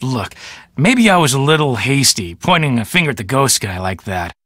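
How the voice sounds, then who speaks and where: An actor playing a cocky, self-important police detective in a video game, boastful, glib and condescending. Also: male voice, medium pitch, sing-song, moderate pace, a bit bright, dry recording, studio quality. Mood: sheepish. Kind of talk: video game character dialogue.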